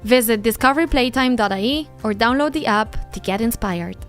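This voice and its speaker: A woman from Spain in her thirties hosting a parenting podcast, chatting casually and laughing now and then, often trailing off into a softer voice. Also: on the high side, brisk, faint music behind. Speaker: podcast host and business owner.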